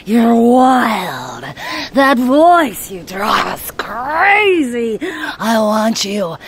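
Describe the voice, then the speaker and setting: An actress playing a wild, lustful and defiant maenad in a theatrical audio drama. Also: female voice, fairly high pitch, sing-song, extremely slow, slight background noise, heavily acted. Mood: entranced, lustful.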